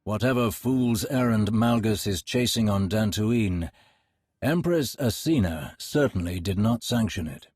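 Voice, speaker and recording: An actor playing a suave, courteous, scheming imperial agent in a video game, speaking in a smooth-mannered, formal, theatrical way. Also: male voice, on the low side, animated, unhurried, darkish tone, no echo, clean studio sound. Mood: measured briefing.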